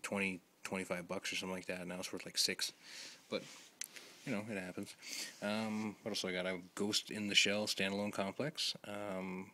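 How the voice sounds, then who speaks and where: A man with a hoarse voice talking quietly and rambling with many ums as he lists items for his vlog. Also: low-pitched. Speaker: video collector host. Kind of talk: casual vlog, listing items.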